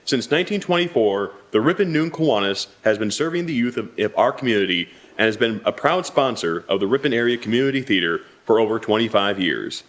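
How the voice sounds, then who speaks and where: An American man with a mid-pitched voice reads sponsor copy as an announcer. His delivery is scripted and very varied in intonation. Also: fairly bright tone. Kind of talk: scripted advertisement read.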